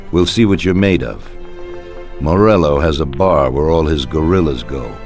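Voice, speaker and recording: An extremely deep-voiced older crime boss for a video game. He speaks with measured authority and quiet menace, as someone used to being obeyed. Soft music plays behind him. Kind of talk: video game cutscene acting.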